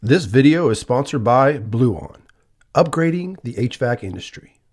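A man's voice: mid-range voice, sing-song, moderate pace, darkish tone. A man reading a scripted promotional voice-over as a sponsor announcer.

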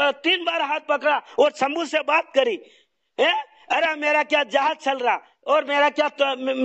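A man's voice: very high voice, fairly bright tone. A dull, lo-fi phone recording carries a man. He rants in an aggrieved way, going back over his points in a heated conversation. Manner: aggrieved, ranting, repetitive. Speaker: phone call participant. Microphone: telephone.

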